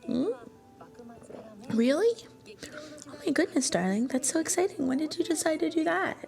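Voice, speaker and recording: A woman playing an affectionate, shy young student girlfriend in an intimate ASMR roleplay, soft-spoken and breathy, often slipping toward a whisper. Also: sits high, lively intonation, normal speed, faint music behind. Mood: pleasantly surprised. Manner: tender, coaxing, bashfully hesitant.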